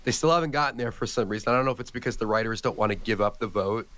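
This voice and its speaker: A man with a hoarse voice from a cold, co-hosting a podcast in casual chat with many fillers. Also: lowish voice, rapid-fire, a bit bright. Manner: chatty, joking, many "like" fillers. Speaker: podcast co-host.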